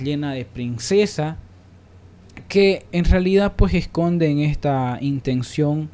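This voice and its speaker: A man's voice: a little high, a little mellow. A man hosting a panel discussion, speaking reflectively with emphatic repetition as he searches for words. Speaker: radio programme host.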